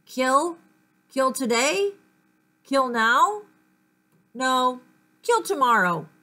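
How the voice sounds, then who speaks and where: A woman's voice: high voice, animated, extremely slow, bright, forceful tone, voice pushed up, heavily acted. A woman voicing the sinister, obsessive inner thoughts of a would-be killer in a storybook reading. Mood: menacing, obsessive.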